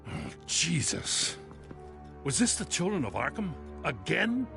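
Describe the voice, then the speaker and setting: A man playing an earnest police lieutenant in a video game, urgent and emphatic, with a creaky edge. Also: on the high side, wildly swooping pitch, slow, full character voice. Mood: shocked. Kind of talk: dramatic video game acting. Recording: faint music under the voice.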